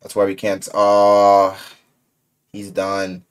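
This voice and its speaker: A man's voice: on the low side, completely flat delivery, takes it slowly, clean tone. A man giving casual, slangy reaction commentary, informal and incredulous, recorded indoors in a bedroom. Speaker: reaction video creator.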